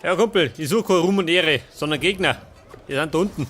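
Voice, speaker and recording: A man's voice: fairly high pitch, brisk, a bit bright. A man with a Bavarian accent giving casual let's play commentary, often muttering questions to himself. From Bavaria, Germany. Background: game music.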